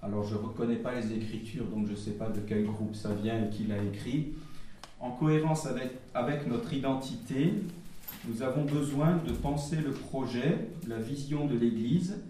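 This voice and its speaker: A man speaking at a church gathering, summarizing group feedback and reading out notes in a halting way, with frequent pauses and restarts. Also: mid-range voice, warm tone, slight background noise. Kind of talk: public talk, reading out notes. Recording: very echoey hall, voice from far off the mic.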